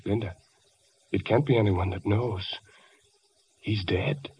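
An actor playing a nervous, guilt-ridden husband hiding a terrible secret in a mid-century radio suspense drama, halting and repeating his words. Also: lowish voice, steady tone, dark tone, breathy edge, full character voice. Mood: tender, desperate. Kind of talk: 1940s-50s old-time radio suspense acting. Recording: dull old radio broadcast recording.

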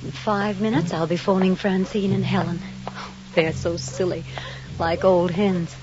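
An actress playing a headstrong, sensible woman who insists she is not afraid, firm and brisk, in a vintage radio drama. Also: on the low side, steady tone, lightly acted. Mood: uneasy bravado. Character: headstrong, sensible unmarried woman, stubbornly unafraid. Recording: muffled old radio recording with hiss.

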